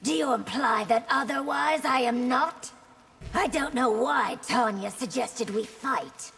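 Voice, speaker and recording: An actress playing a proud, imperious royal warrior with a measured, cutting manner in game banter. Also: female voice, a little high, gravelly, a bit bright, soft background music. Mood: haughty. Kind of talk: video game character banter. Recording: long hall reverb, faint background noise.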